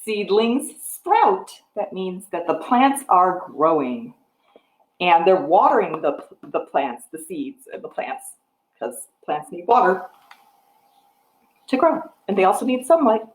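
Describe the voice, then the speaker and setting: A woman's voice: lowish voice, big pitch swings, slow, echoey room, poor audio. A middle-aged American woman talking to young children as a storytime librarian, with simple explanations and questions. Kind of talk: picture-book read-aloud and craft talk to young children. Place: room at home with wooden floor and piano. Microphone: device microphone at about arm's length.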